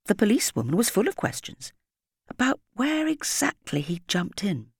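A woman narrating a children's audiobook expressively, with deliberate, dramatic phrasing and emphasis on key words. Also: mid-range voice, sing-song, gravelly, breathy edge, no room sound, studio quality.

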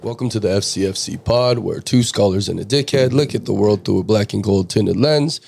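A man hosting a casual podcast from a backyard, hoarse from cheering, brash and teasing, swearing often and laughing a lot. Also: fairly low pitch, a little fast, a little mellow, slight background noise. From Korean heritage. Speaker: podcast host. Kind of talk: profane casual podcast chat.

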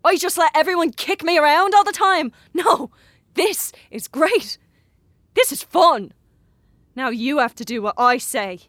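A woman's voice: high voice, expressive, a little slow, a bit bright, no echo, studio quality. A woman playing a lonely, manipulative teenage boy, insistent and pushy, in naturalistic audio drama. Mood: gloating, defiant.

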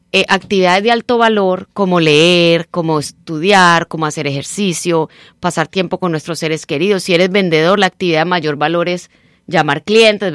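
A middle-aged Colombian woman with a slightly mixed Nicaraguan accent, speaking as a radio guest and time-management trainer in an animated, persuasive coaching tone. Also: fairly low pitch, no room sound, clean studio sound. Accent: Colombian Spanish mixed with Nicaraguan. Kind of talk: radio interview, promoting a seminar.

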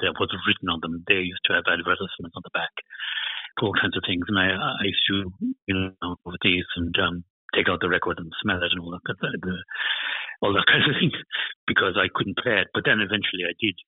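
An older man, reminiscing in a halting way with repeated phrases. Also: low voice, breathy edge, no echo, phone-quality sound. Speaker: composer. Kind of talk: reminiscing in conversation.

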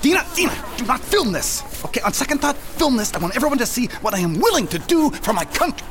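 A self-important male director with a very high-pitched, agitated voice. He is bossy and frantic, repeats himself and swears, all in the manner of satirical audio drama. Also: sing-song, quick pace, noisy background, exaggerated voice. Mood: frantic, grandiose.

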